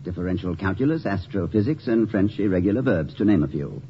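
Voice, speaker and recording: Witty dignified college president, very expressive, wordy and wry, dull hissy old radio recording. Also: male voice, low voice. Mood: wry, defiant. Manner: eloquent, wry, formal, deliberate wording. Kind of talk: 1950s radio comedy-drama acting. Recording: muffled, noisy old 1950 radio recording.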